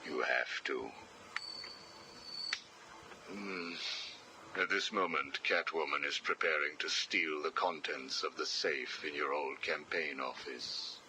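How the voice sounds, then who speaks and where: A man voicing a cold, condescending older psychiatrist villain in a video game, speaking in measured, clipped, commanding sentences. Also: very deep voice, bright, forceful tone, slight background noise, full character voice, rough recording. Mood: coldly manipulative. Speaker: villain, prison warden.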